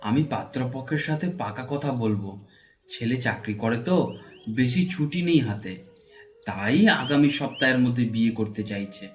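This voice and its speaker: A man's voice: mid-range voice, moderate pace, a little hiss or hum, natural voice. A man playing a careworn, well-meaning old village husband and father in a cartoon folk tale, earnest and plaintive. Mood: pleased.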